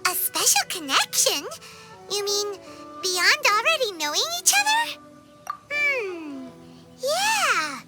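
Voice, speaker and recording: A woman voicing a tiny, childlike fairy companion in a video game, squeaky and excitable, with exaggerated delivery. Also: slow, full character voice. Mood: pondering, eager. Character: tiny chirpy childlike fairy companion, talks in third person. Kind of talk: video game cutscene acting. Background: music.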